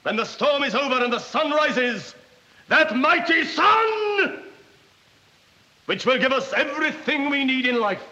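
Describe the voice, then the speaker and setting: Fanatical Nazi officer in a wartime film: declamatory, high-pitched, forceful, dull vintage soundtrack. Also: male voice, animated, measured pace, full character voice. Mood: exalted. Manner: declamatory, fervent, grandiose. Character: fanatical Nazi German officer rousing émigrés with a speech. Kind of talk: theatrical political oratory in a 1940s film.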